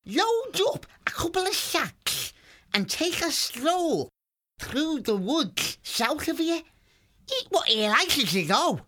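A narrator voicing a small, hot-tempered fox gang chief with a squeaky voice and rough, bossy, rustic speech in a storybook reading. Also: very animated, slow pace, bright, forceful tone, full character voice. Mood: crafty, bossy. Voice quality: squeaky, shrill.

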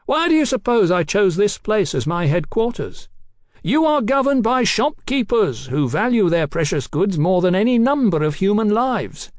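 A man's voice: high-pitched, big pitch swings, normal speed, no echo, studio quality, voice pushed up. A man voicing a taunting, fanatical revolutionary villain in an audiobook, theatrical and declamatory.

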